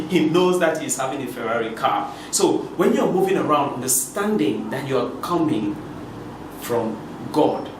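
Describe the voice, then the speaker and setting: A man from Cameroon giving a preachy motivational talk to his viewers, with many repeated words and restarts. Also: mid-range voice. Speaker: motivational speaker. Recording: echoey room from a distance with noise.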